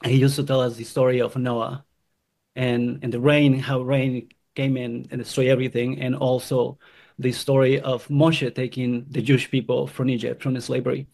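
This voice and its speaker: A man from Guatemala telling his own story in an earnest, halting way, often restarting his sentences. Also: mid-range voice, flat delivery, vocal fry, darkish tone. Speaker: convert sharing his story. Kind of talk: personal testimony.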